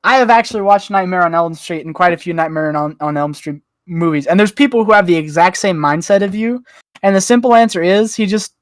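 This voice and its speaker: A podcast panellist in casual group chat, with a mid-pitched voice in a dry, close recording. The delivery is insistent and argumentative, pressing each point conversationally. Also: fairly quick, no echo.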